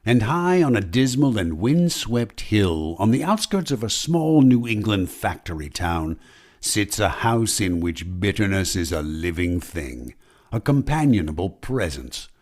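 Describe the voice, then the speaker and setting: An ominous mystery storyteller from old-time radio. His lowish voice moves very slowly and swings widely in pitch, portentous and brooding. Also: very animated, darkish tone. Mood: ominous, elegiac. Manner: dramatic, deliberate, portentous. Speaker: narrator.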